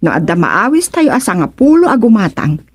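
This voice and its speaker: An actress with an Ilocano accent playing a plain-spoken village health worker in a radio comedy. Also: female voice, medium pitch, sing-song, a little fast, breathy edge. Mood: matter-of-fact. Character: village health worker, woman, matter-of-fact. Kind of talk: radio drama comedy acting.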